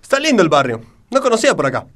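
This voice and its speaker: Argentine guy, talks fast, very animated, teasing rehearsal banter. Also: high voice. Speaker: comedy performer.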